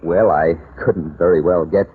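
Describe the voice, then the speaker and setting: An actor playing a smooth, teasing detective in a 1940s radio drama. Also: male voice, sits low, fairly even intonation, moderate pace, close to an everyday voice. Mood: wry. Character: wisecracking reformed-thief male detective. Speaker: lead detective. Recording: tinny old radio broadcast with faint hiss.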